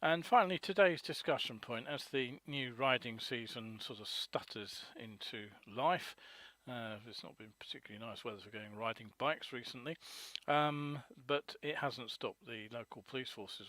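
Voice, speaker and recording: A man hosting a live motorcycle news webcast, hoarse from a cold, rambling with many ums and uhs. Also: medium pitch, a bit bright, no room sound.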